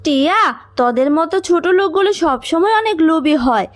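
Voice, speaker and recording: Lady doing a snooty tigress mom from a cartoon, high voice, telling kids off. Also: lively intonation, brisk. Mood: angry. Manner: scolding, contemptuous. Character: haughty scolding tigress mother in a fable cartoon. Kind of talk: children's cartoon voice acting.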